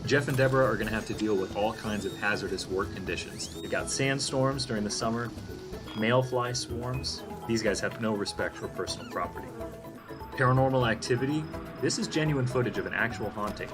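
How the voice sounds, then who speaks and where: A man's voice: on the low side, a little fast. A man narrating a game demo from a script as a developer. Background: music.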